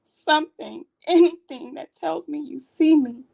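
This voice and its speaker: A woman's voice: sits high, measured pace, creaky, warm tone, exaggerated voice. A woman acting an angry, hurt, accusing daughter. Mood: pleading, hurt. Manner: accusing, emphatic, emotional. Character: hurt, angry grown daughter leaving a neglectful parent. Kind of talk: dramatic audition monologue.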